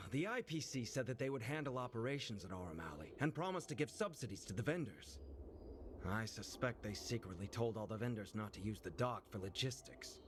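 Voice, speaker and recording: An actor playing an affable, concerned male delivery branch manager in a video game, courteous and expressive in his speech. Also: mid-range voice, a little fast, noisy background, close to an everyday voice. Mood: exasperated. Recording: music playing behind the voice.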